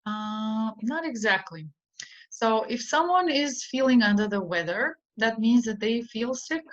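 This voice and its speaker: A woman's voice: a little high, expressive, unhurried. A woman teaching an English idiom in an online lesson, speaking patiently with simple explanations and frequent ums. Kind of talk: slow online vocabulary lesson. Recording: dull lo-fi recording.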